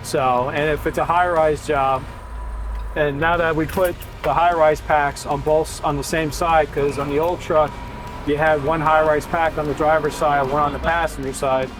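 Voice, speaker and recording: Man, firefighter, slightly high pitch, casual explanations, practical phrasing, noticeable vehicle noise. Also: steady tone, moderate pace. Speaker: firefighter and truck driver. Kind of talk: casual explanatory interview talk. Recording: clear vehicle and street noise.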